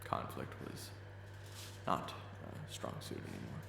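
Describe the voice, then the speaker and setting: A man playing a weary boss with a buried temper in a dramatic audio roleplay, gravelly and brooding. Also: a bass voice, animated, unhurried, echoey room, noisy background, heavily acted. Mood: brooding, resigned. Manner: brooding when narrating, exasperated in dialogue. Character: weary reformed hot-tempered man, a soft-pushover boss. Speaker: narrator and lead character.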